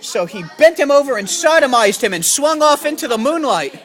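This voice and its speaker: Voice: high voice, animated, a little fast, a bit bright. A person improvising an absurd, profane comic story in a mock storybook narration.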